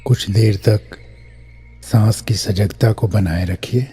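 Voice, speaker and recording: A man guiding a scripted meditation in a measured way, pausing between short phrases. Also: on the low side, fairly even intonation, mellow, breathy edge, soft background music. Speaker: meditation guide. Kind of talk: scripted guided meditation, instructional narration.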